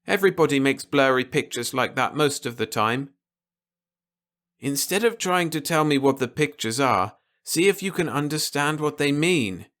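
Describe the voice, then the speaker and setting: A gentle old grandfather from a storybook, acted by a man. He speaks protectively and patiently, explaining things in simple words to a small child. The voice has a forward, bright edge. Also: on the high side, lively intonation, moderate pace, voice pushed up. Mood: coaxing. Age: older.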